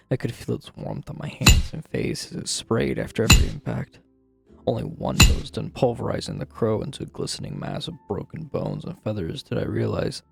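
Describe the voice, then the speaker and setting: Gravelly, brooding man acting as a troubled confessor. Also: mid-range voice. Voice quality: gravelly, creaky, husky. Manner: brooding, deliberate, dramatic storytelling. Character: troubled man confessing a grim childhood memory, guilty and bitter. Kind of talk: first-person dramatized horror story narration. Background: music.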